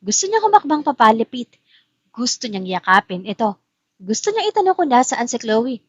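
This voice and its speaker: A woman's voice: a little high, sing-song, moderate pace, husky, no echo, dull audio. A woman as narrator, reading a scripted love story in dramatic style. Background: music.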